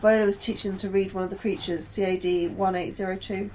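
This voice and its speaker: An anxious, conscientious scientist played by a woman confides in an audio diary for a sci-fi horror drama. Her voice sits at a medium pitch. There is slight noise in the recording. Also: flat delivery, quick pace, darkish tone, natural voice, low-quality recording. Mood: troubled. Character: anxious conscientious female astrobiologist keeping a diary. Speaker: narrator.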